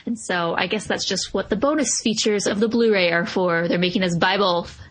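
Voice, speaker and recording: A woman's voice: mid-range voice, brisk, low-quality recording. Reflective woman co-hosting a review podcast. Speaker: podcast co-host reviewing films. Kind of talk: casual analytical discussion.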